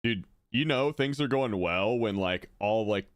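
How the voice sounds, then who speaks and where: A man chatting casually on a live stream, excitable and full of fillers as he reacts to a video. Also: lowish voice, unhurried, no room sound, studio quality.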